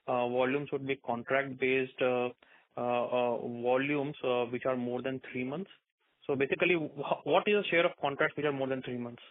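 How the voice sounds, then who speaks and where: Male analyst, flat intonation, halting delivery, dry telephone connection. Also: mid-range voice, gravelly, no room sound. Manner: halting, stammering. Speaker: analyst asking questions. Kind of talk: earnings call questioning. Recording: dry, narrow-band phone line.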